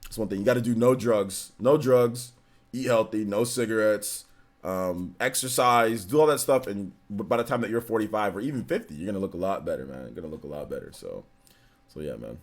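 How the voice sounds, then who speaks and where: A man's voice: lowish voice, expressive, fairly quick. A man in his forties chatting casually as a streamer, loose and filler-heavy, answering chat questions and laughing easily. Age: 40s. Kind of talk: casual livestream Q&A.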